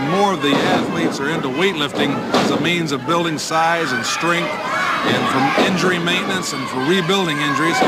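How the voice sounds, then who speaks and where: A man giving chatty, anecdotal ringside commentary at a wrestling show. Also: a little high. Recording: loud arena crowd and noise.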